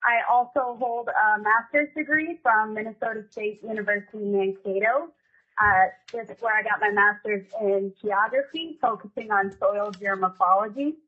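A slightly hoarse woman with the flu, a board appointee calling in remotely to testify, polite and a little halting with many "um"s. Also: medium pitch. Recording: tinny phone line.

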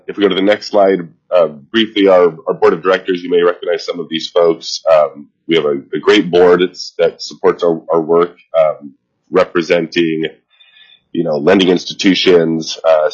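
A man with a head cold, giving a formal presentation over a video call, halting with frequent ums and restarts. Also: low voice, husky, dull audio. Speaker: nonprofit CEO presenting to a committee.

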